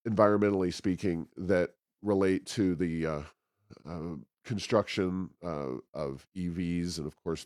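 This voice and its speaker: A man with a deep voice hosts a policy discussion. He phrases things deliberately and haltingly, pausing often as he works through his points. The sound is dry, with very little room in it. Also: no echo. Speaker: podcast host. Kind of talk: political policy commentary in conversation.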